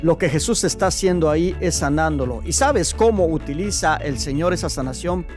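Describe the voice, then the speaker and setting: A man's voice: fairly high pitch, moderate pace, darkish tone. Preaching man with music behind. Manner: exhorting, addresses listener directly. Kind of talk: preaching introduction and interview.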